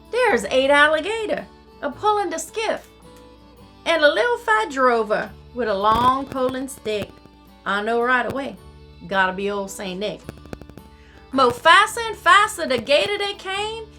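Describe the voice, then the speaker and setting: A woman voicing a folksy, excitable Cajun storyteller, reading rhymed storybook verse theatrically in a singsong dialect. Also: high voice, pitched above the performer's own voice, full character voice. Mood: excited.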